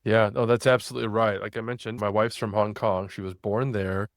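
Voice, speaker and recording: A man's voice: on the low side, level tone, a little fast, no room sound, studio quality. A man hosting a podcast interview, chatting in a friendly, agreeing way and asking follow-up questions. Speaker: podcast host. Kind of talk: casual interview chat.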